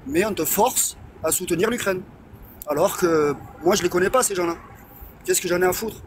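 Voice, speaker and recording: A man's voice: high-pitched, normal speed. A man of Iranian heritage in a casual political chat, blunt and emphatic, asking rhetorical questions. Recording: noisy background.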